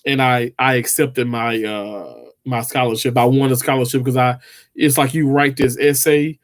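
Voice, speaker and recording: A man's voice: medium pitch, steady tone, normal speed. A man, chatting casually with viewers on a livestream, rambling with lots of fillers and restarts. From Mississippi, US. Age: 30s. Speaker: livestream host.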